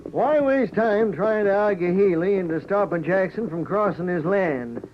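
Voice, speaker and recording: A high-pitched, eager outlaw sidekick. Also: male voice, moderate pace. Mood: excitable. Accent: American. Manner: folksy, excitable. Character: eager, trigger-happy Western outlaw henchman, goading. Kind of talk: 1940s Western radio acting. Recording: muffled, noisy old radio recording.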